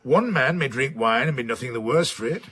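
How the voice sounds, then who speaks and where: An actor playing a gruff, opinionated older man of letters who speaks in pompous, emphatic aphorisms in a period radio drama. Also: medium pitch, animated, brisk, sharp tone. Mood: sardonic, pontificating.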